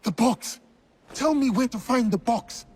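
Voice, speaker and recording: A man's voice: very high voice, a little slow, darkish tone, breathy edge, heavily acted. A man playing a plainspoken, loyal warrior in a video game, with terse, direct delivery. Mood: fierce interrogation. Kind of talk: video game cutscene voice acting.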